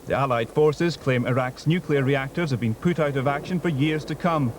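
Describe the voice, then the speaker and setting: A man's voice: medium pitch, moderate pace, background noise. A man with a British accent voicing a scripted news report.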